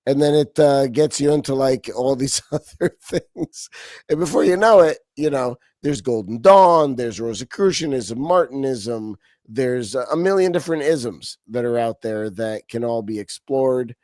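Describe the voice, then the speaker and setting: Animated man from Romania, dry sound. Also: medium pitch, measured pace, no echo. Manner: animated, frequent restarts and fillers. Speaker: podcast guest. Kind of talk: casual interview talk.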